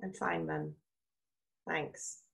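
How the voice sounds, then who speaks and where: A woman's voice: low-pitched, steady tone, extremely slow, vocal fry, fairly bright tone, full character voice. An actress playing a weary, strained adult daughter in a radio play, hesitant and guarded with her mother.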